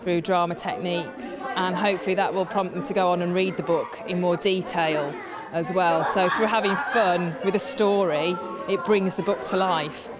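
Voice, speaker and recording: A woman's voice: fairly low pitch, steady tone, moderate pace, over a noisy crowd. A woman, a drama teacher, explaining things off the cuff and rephrasing as she goes. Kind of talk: spontaneous explanation. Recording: clear hubbub of voices behind.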